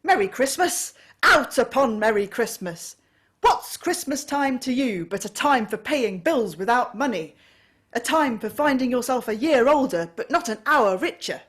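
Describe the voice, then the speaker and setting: A woman voicing a bitter, miserly old man who answers with loud, indignant outbursts. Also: mid-range voice, sing-song, measured pace, hardly any pauses, pitched above the performer's own voice. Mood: scornful.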